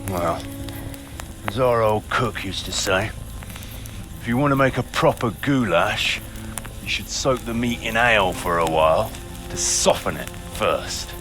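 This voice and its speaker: An actor playing a gruff, cautious mercenary chief in a video game, dry and folksy in his orders. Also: lowish voice, unhurried, long pauses, over music, natural voice. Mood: dry, dismissive. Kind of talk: video game cutscene acting.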